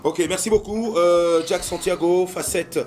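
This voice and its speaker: A man hosting a TV interview in a lively, chatty way. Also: high voice, measured pace, a little hiss or hum. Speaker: TV host. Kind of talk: casual interview.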